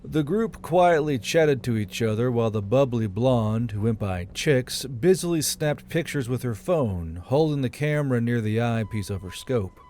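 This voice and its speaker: A man's voice: medium pitch, animated, moderate pace, few pauses, mellow. A man reading military fantasy fiction as a scripted audiobook narration. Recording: music under the voice.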